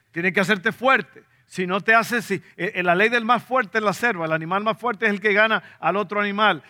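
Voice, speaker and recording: A man's voice: high voice, pushed and bright. A man with a Dominican Spanish accent, preaching a sermon to a congregation, repeating key points and putting questions to them. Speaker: pastor.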